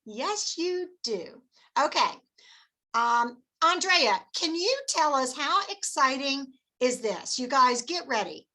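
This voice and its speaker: Peppy bright-voiced woman cheering her team. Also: high voice, lively intonation. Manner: peppy cheerleading, quick encouragement. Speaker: meeting host. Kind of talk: team meeting presentation.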